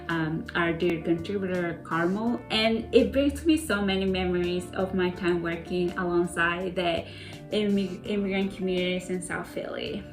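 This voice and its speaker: Woman from Ecuador, echoey kitchen. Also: medium pitch. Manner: warm, reflective, with many fillers and restarts. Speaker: community contributor. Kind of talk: cooking demonstration narration. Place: home kitchen.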